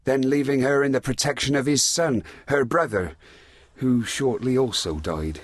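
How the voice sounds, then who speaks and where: Guy acting a shipwrecked sea captain in shakespeare, steady and respectful, bit of a husky voice, very dry recording. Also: medium pitch, normal speed, dry recording, close to an everyday voice. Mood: earnest, reassuring. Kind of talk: Shakespearean audio drama.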